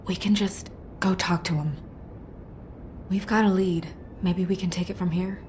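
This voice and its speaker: An actress playing a caring, composed woman who helps an investigation in a video game, gentle and diplomatic in manner. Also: fairly low pitch, steady tone, unhurried, slight background noise, natural voice. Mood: reassuring. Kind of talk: dramatic video game acting.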